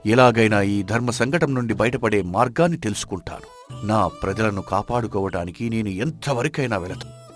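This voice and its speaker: A man acts out an earnest, righteous king from a folk tale. His voice sits very low, and his speech is solemn, formal and respectful. Faint music plays behind him. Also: lively intonation, normal speed, close to an everyday voice. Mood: resolute. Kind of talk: Telugu audio folk tale acting.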